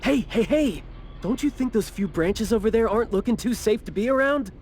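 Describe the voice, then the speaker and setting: A man playing an eager, chatty young companion in a video game, with an animated manner. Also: sits high, a little fast, slight background noise.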